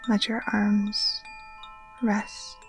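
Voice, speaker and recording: A woman gently guiding a relaxation meditation in a soft, breathy, near-whispered voice, soothing and unhurried. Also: mid-range voice, flat delivery, soft background music. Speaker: yoga nidra teacher. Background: occasional chimes.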